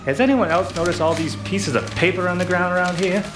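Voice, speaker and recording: A man's voice: high-pitched, brisk, over music, close to an everyday voice. An actor playing a frazzled, paranoid male detective partner who stammers and rambles in a radio play. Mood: puzzled.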